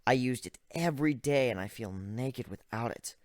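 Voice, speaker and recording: A man's voice: medium pitch, moderate pace, a little mellow, no echo, natural voice. Man reading as a weary, analytical young griffin, dry sound. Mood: weary, downcast. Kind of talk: dramatic reading of first-person fan fiction.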